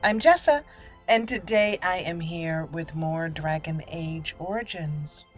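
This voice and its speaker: A woman hosting a roleplay game playthrough, deliberately narrating her actions aloud. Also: sits low. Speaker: gaming channel host. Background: faint game music.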